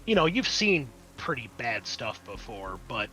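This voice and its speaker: A man's voice: mid-range voice, very animated, measured pace, pushed and bright, a little hiss or hum, low-quality recording. A man narrating as game master of a tabletop horror game, in a casual, sweary, descriptive way.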